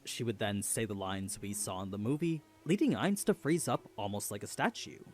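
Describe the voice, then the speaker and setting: A man's voice: on the low side, lively intonation, normal speed, a little mellow. A man reading scripted narration for an explainer video.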